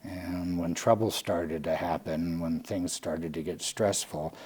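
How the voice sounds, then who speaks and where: A man in his late forties from California, a Salvation Army lieutenant telling his own story candidly, halting and restarting often. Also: sits low, fairly even intonation, darkish tone, husky. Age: late 40s. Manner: halting, with frequent restarts and fillers.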